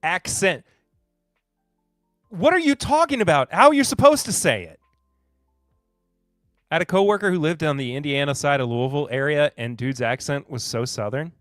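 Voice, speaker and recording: A man's voice: on the high side, lively intonation, moderate pace, long pauses, a bit bright, no echo, clean studio sound, soft background music. A man from Kentucky hosting a live podcast in casual chat, laid-back and chuckling as he reads and answers viewer comments. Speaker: podcast host.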